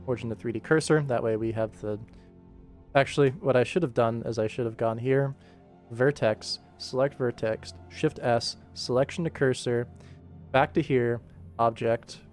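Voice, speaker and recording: A young man with a mid-pitched voice hosts a casual work livestream. He rambles and thinks out loud in a playful, informal way, with music playing behind him. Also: steady tone, normal speed. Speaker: livestream host and animator. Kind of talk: casual livestream chat while working.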